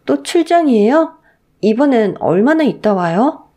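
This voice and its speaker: A woman's voice: fairly high pitch, sing-song, normal speed, mellow, voice pushed up. Woman playing a meek young wife. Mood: wistful. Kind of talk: radio story drama.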